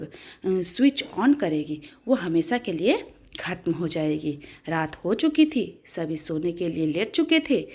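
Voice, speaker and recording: Woman storyteller with lively, swooping intonation. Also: on the low side. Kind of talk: dramatic moral story narration.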